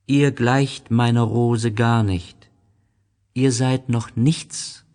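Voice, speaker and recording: A man's voice: mid-range voice, steady tone, extremely slow, darkish tone, pitched above the performer's own voice. A man voicing an innocent, curious young boy prince in a storybook, speaking earnestly and with emphasis. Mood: firm, proud.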